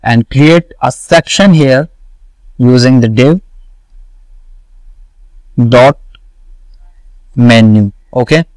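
A man walking through a coding tutorial, slowly repeating each step and answering his own questions. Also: medium pitch, darkish tone, no room sound, low-quality recording. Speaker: web development tutor. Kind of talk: screen-recorded coding tutorial.

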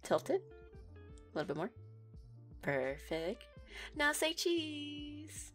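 A giggly, teasing young neko girlfriend, played by a woman in an audio roleplay, her voice bouncing up and down with lively swings. She takes her time, speaking unhurried and soft, with faint music underneath. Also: sits high, heavily acted. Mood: giggly affectionate.

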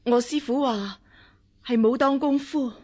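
Radio drama empress dowager, high breathy voice, slow, scheming, haughty but grovels when cornered. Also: female voice, a little mellow. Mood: meek, evasive. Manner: hesitant, deferential when cornered. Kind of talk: Cantonese radio drama acting.

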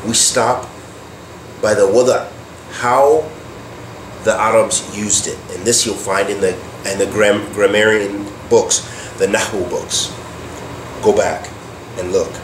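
A man giving a religious lecture, explaining terms deliberately and posing questions he then answers himself. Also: lowish voice, steady tone. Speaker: Islamic teacher. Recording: echoey room with air-conditioning hum.

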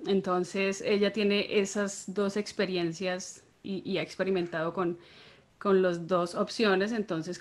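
Young woman, from Colombia, host, friendly, hesitant fillers, video call. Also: lowish voice. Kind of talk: introducing a guest. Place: small indoor room.

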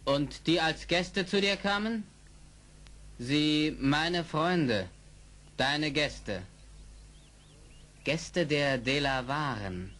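Bright, forceful proud young warrior. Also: male voice, fairly high pitch, drawn-out words, pauses often. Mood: appealing. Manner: declamatory, ceremonial. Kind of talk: 1970s German radio play acting. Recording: noisy old radio play recording.

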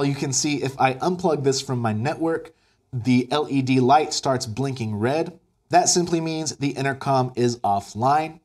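A man's voice: medium pitch, a little mellow. A man in his thirties with an American accent, explaining step by step as an upbeat instructional video presenter. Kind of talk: instructional tutorial narration. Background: background music.

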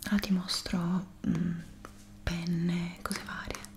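A woman whispers gently and breathily, unhurried, in an ASMR shop assistant roleplay. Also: on the low side, flat delivery, creaky, noisy background. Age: 20s. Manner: gentle, unhurried, speaking as if to a customer. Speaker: ASMR creator playing a stationery shop assistant.

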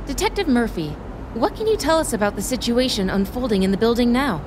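A woman playing a pushy, driven crime reporter in an audio drama, brisk and insistent. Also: a little high, animated, noisy background, natural voice. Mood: composed, on-air. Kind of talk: naturalistic audio drama acting.

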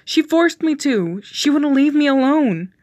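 Guilty cheating boyfriend for a story reading, read by a woman, defensive, pleading, lifted pitch. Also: animated, unhurried, darkish tone, dry recording. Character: guilty, defensive young man confessing to cheating.

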